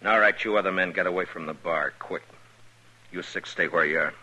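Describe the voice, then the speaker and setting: A man's voice: deep voice, a little fast, pushed and bright, full character voice, poor audio. An American man playing a terse, weary frontier marshal in a 1950s radio western, with commanding delivery. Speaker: lead actor and first-person narrator. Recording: old radio drama recording, music behind.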